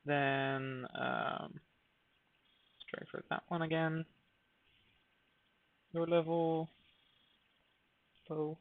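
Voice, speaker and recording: A man's voice: a little high, steady tone, extremely slow, vocal fry, long pauses, no room sound. A man giving casual live commentary while streaming a game, thinking aloud with hesitant fillers. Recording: dry, phone-like narrow sound.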